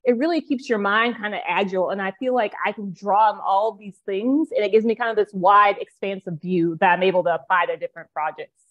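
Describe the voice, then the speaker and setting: A woman's voice: mid-range voice, fairly quick, fairly bright tone, dull audio. A woman book coach talking as a guest in a casual interview, rambling with many fillers.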